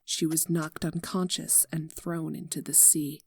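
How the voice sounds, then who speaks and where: A woman reading a tragic fan fiction story aloud in a somber, slow narration that drops to near-whispers. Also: sits low, level tone, slightly rough. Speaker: fanfic reader. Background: soft guitar music.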